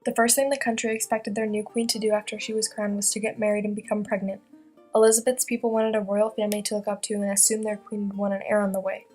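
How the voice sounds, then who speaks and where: Brisk, flat-toned young woman reading a newsreel. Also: medium pitch, faint music behind. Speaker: student presenter playing a news reporter. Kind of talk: scripted newsreel narration.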